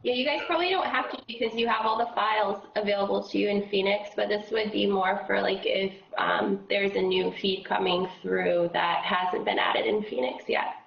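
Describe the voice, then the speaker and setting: A woman with a froggy voice leading a casual software training session, explaining steps with lots of fillers. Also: mid-range voice, fairly even intonation, moderate pace, gravelly, few pauses, echoey room, dull audio. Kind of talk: casual screen-share training.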